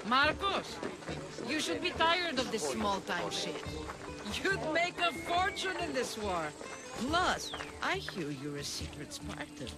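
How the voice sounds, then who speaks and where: A woman's voice: sits high, very animated, slow, over loud music, exaggerated voice. A woman playing a tough, impatient bow-maker in a video game, brusque and commanding. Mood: brisk, shrewd. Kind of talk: video game cutscene acting.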